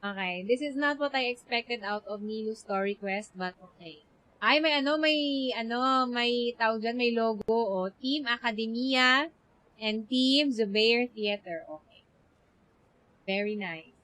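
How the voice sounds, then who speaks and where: A woman's voice: fairly high pitch, a little slow, fairly bright tone, poor audio. A woman with a Filipino accent reacting casually to a game on a live stream, switching between two languages.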